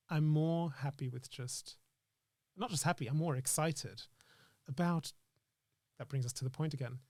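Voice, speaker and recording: A man's voice: a little high, a little mellow, dry recording, clean studio sound. A man speaking as an interview guest in casual, reflective chat, earnestly thinking aloud in broken phrases. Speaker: interview guest, actor and screenwriter.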